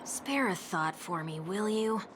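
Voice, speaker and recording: An actress voicing a teasing, exasperated companion to a fugitive in a video game. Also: female voice, medium pitch, animated, measured pace, background noise, lightly acted. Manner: teasing, sardonic. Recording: loud game music over the voice. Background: loud game music.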